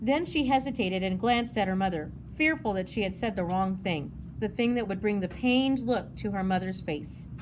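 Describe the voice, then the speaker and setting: A woman's voice: medium pitch, normal speed, hardly any pauses, noisy background. A woman narrating a children's novel as a read-aloud.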